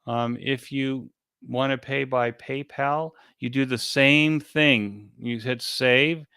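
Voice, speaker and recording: A man being interviewed as an event organizer, giving an informal step-by-step explanation in a halting, repetitive way. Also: medium pitch, fairly even intonation, bright, forceful tone, clear, resonant tone, dry recording, clean studio sound.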